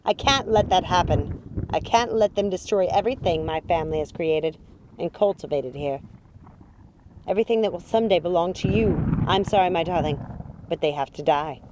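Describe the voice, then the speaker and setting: A woman's voice: on the low side, moderate pace, noisy background, natural voice. A woman voicing a cruel, possessive witch mother in an audiobook, scornful, commanding and theatrical. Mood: grave, resolute. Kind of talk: audiobook character acting.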